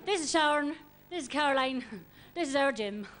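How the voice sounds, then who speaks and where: A woman playing a loud, cheeky young Irish pop singer with a Dundalk accent, hosting a live comedy show. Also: high-pitched, lively intonation, moderate pace. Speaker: host. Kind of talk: stand-up character act. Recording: live stage with audience noise.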